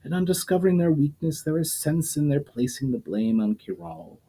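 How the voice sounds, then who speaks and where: A man's voice: on the high side, sing-song, moderate pace, mellow, slightly rough, voice pushed up. An ancient immortal demon, cold and derisive, who lectures in a slightly raised voice, as a male reader puts him on. He sounds scornful and superior in a told fantasy story. The voice stays mostly close to the reader's own. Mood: cold lecturing. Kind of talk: storytime reading aloud.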